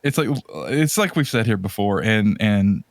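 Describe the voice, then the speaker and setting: A man's voice: fairly low pitch, animated, moderate pace, no echo, clean studio sound. A man co-hosting a podcast in a casual, opinionated and rambling conversational style. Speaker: podcast co-host. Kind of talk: casual chat about video games.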